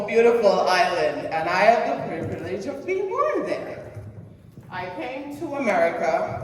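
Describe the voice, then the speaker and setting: A middle-aged woman from Jamaica, giving a personal motivational speech in a slow, deliberate way and putting questions to the audience. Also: lowish voice, slight background noise. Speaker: presentation speaker. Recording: echoey hall heard from a distance.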